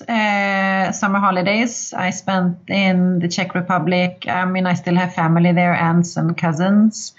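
A woman in her forties from Sweden with a Swedish accent, a podcast guest talking casually, pausing often with "uh" and laughing easily. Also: fairly low pitch, highs cut off. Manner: thoughtful, many "uh" pauses, laughs easily. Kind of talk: casual interview talk.

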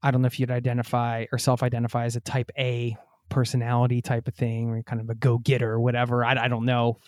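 A chatty American man hosting a podcast, rambling easily through a casual conversation with a laugh here and there. His voice sits at an ordinary middle pitch. The sound is dry and close. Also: monotone, fast, dry recording. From United States. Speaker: podcast host. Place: home in Norway.

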